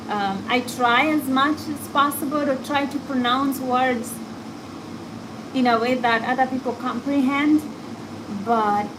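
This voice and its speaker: A woman with an African accent, talking casually and reflectively as a podcast host. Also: fairly high pitch. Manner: candid, reflective, talks to a guest and listeners. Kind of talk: casual chat. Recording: steady background noise.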